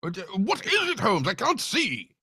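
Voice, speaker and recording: A man playing a hesitant, obliging male detective's sidekick in a live radio drama, halting and theatrical. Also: sits high, huge pitch swings, exaggerated voice. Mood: alarmed, bewildered. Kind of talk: live cold-read recreation of 1940s radio drama. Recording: live stage recording with clear background noise.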